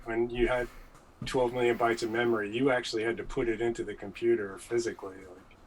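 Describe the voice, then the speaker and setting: A man's voice: mid-range voice, flat delivery, fairly quick, poor audio. A man appearing as a guest, casually reminiscing. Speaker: guest, former computer worker.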